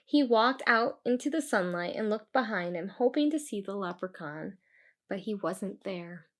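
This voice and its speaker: A woman reading a children's book aloud in an expressive storytelling style with deliberate, dramatic emphasis. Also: medium pitch.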